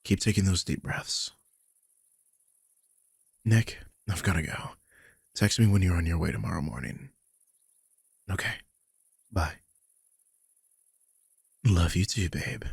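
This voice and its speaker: A man playing a protective, guilt-ridden magical guardian in an intimate roleplay audio drama, speaking softly and hesitantly in a husky, breathy voice that often drops toward a whisper. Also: sits low, slow pace, long pauses, no room sound, studio quality, exaggerated voice. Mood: soothing, reassuring, then casual, hushed. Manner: soft, hesitant, soothing, with frequent sighs.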